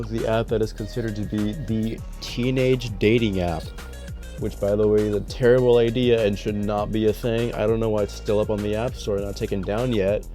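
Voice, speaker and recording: A man's voice: fairly low pitch, warm tone. Young man with a nasal, sick voice. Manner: rambling, profane, self-deprecating storytelling. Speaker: storytime vlogger. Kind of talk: casual storytime vlog. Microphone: dedicated voice mic.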